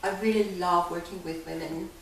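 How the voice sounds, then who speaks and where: A woman's voice: on the low side, steady tone, fairly quick. An older woman with a Hungarian accent, speaking earnestly as a life coach guest in an interview. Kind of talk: candid interview. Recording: echoey room, mic far off, faint hiss.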